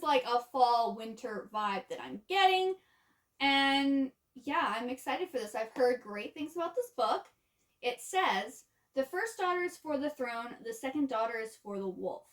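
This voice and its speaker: A woman with a noticeably high voice presents books in an informal vlog style. Her phrasing is conversational and unpolished. The microphone sits some distance from her, so the voice sounds slightly removed. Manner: informal, frequent "like" as a filler. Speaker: book vlogger. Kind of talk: casual book chat to camera with some reading aloud of blurbs.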